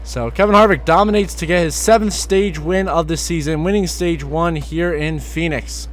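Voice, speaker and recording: A young man with a high voice gone hoarse calls a sim race play-by-play, lifting into excited shouts when cars crash or swap the lead. A faint hum sits behind him. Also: normal speed. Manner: excited rising calls during crashes and passes. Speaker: sim racing commentator.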